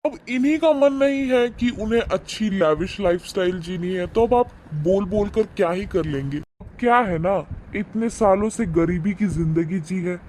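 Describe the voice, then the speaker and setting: A man playing a smug, boastful, wealthy son-in-law in an animated moral story, with a patronising, sneering manner. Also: high-pitched, normal speed, few pauses, a little hiss or hum, natural voice. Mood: smugly mocking.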